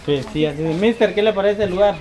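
A man's voice: high-pitched, quick pace. A man with a Guatemalan accent, chatting casually and teasingly as a vlogger interviewing guests on an outdoor lakeside terrace, laughing often. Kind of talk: casual chat. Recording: outdoor noise behind.

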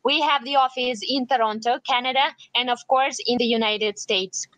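A woman with as her interprets into English, rendering each phrase right after the speaker and sometimes loosely. Her voice is high and bright. The recording is close and dry. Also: fairly even intonation, normal speed, no room sound, rough recording.

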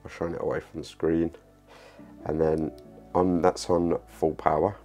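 A man's voice: extremely low pitch, little pitch movement, a little slow, dark tone, soft background music. A man in his thirties from the UK, casually showing off a camping lantern to camera in a small living room, with many ums and restarts. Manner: hesitant, many ums and uhs, repeats himself. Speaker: outdoor gear reviewer. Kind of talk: casual product demo to camera.

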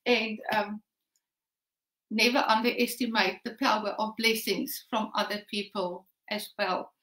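Slow-talking woman, Afrikaans native, in a roomy room. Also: medium pitch, flat delivery, a bit bright. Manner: slow, earnest, with many fillers and restarts. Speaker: shop owner. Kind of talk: casual personal talk to camera. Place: living room with a sofa. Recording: roomy echo from a distant mic.

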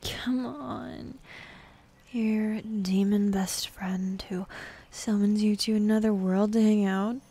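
A young woman voicing a bossy, teasing demon woman in an intimate, half-whispered ASMR roleplay, with a husky, breathy voice and a sardonic drawl. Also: medium pitch, fairly even intonation, slow, mellow. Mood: coaxing, playful.

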